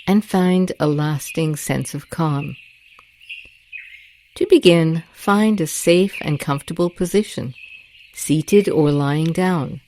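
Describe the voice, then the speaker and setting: A woman leads a guided meditation in a low, relaxed voice. She speaks gently and deliberately, leaving long calming pauses. A light bed of birds and crickets plays behind her. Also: slight background noise. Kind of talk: soothing guided meditation narration. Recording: nature ambience with birds and crickets. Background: rainforest sounds, birdsong and crickets.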